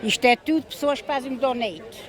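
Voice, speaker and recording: An older Portuguese-born woman talks casually about the sweets she sells, now and then dropping in English words. She is recorded outdoors, with a loud crowd chattering all around her. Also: high voice, brisk. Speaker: festival volunteer selling sweets. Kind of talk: casual interview. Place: outdoor festival.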